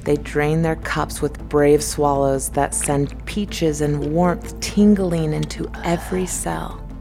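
Low-voiced woman narrating an audio drama. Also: steady tone, slow pace, gravelly, warm tone, faint music behind.

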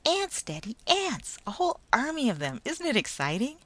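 A woman voicing an excited, restless little boy who speaks high and eagerly. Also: moderate pace, no room sound.

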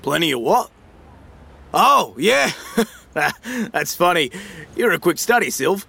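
Male actor as glib Australian money man, high-pitched, gravelly, slangy, matey, audio drama. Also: very animated, slow pace, pushed and bright, exaggerated voice. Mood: glib, amused. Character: breezy, slippery Australian financial wheeler-dealer man.

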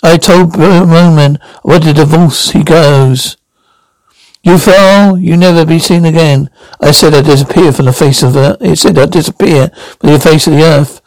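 A man's voice: a little high, steady tone, moderate pace, a little mellow, dry recording, lightly acted, rough recording. A man reading a frank young small-town woman.

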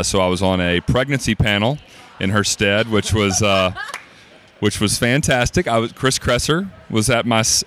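Low-voiced easygoing man. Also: a bit bright, smooth. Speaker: guest, cookbook author. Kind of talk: casual interview chat. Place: busy expo floor.

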